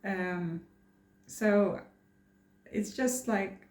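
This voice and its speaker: A woman's voice: medium pitch, extremely slow, darkish tone, reverberant. A young woman from France chatting casually in a personal vlog, rambling and hesitant with many fillers and restarts. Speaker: vlogger.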